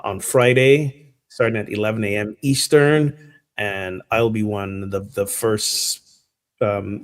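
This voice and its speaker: A man from Barbados, a minister giving a relaxed, conversational sermon full of fillers over a video call. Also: lowish voice. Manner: relaxed and chatty, many fillers. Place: home abroad.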